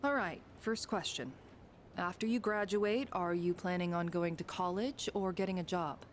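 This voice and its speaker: Woman acting a composed, low-voiced mentor. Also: slight background noise, lightly acted. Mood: businesslike. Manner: measured, direct, earnest. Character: frank, caring female mentor, composed. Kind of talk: dramatic video game voice acting.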